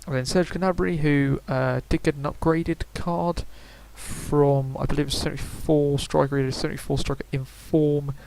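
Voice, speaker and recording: A man's voice: on the high side, fairly even intonation, moderate pace, gravelly, hardly any pauses, darkish tone, slight background noise, low-quality recording. Chatty young man rambling predictions. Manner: chatty, rambling, frequent fillers and self-corrections. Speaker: gaming YouTuber. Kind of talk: casual predictions commentary.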